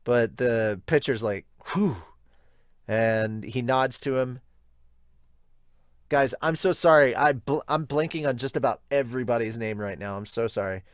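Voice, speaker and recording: A man's voice: mid-range voice, fairly even intonation, normal speed, clean tone, dry recording, studio quality. A man hosting a manga review, reading aloud and commenting casually as he goes. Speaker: manga reviewer and podcast host.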